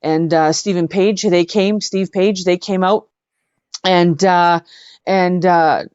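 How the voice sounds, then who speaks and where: Woman, lowish voice, effusive interview guest telling stories casually, slightly dull audio. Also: steady tone, slow pace, darkish tone, clean tone, no echo. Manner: effusive, repeats words for emphasis. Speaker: interview guest, singer-songwriter and actress.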